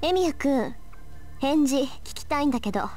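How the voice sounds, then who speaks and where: An actress playing a sharp, proud teenage girl mage, brisk and assertive, in a Japanese visual novel. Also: high voice, expressive, faint music behind. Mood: cool, businesslike.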